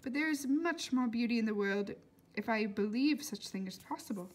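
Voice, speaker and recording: A woman voicing an elderly foreign woman doctor in a storybook, warm and philosophical, with emphatic, oddly formal phrasing. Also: fairly high pitch, lively intonation, normal speed, natural voice. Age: older.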